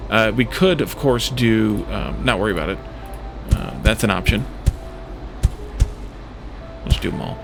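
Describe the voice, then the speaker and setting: A man hosts a casual let's play with a noticeably gravelly, fry-laden voice. He thinks aloud as he goes, halting and hesitant between decisions. Music plays clearly behind his commentary. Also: fairly low pitch. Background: music in the background.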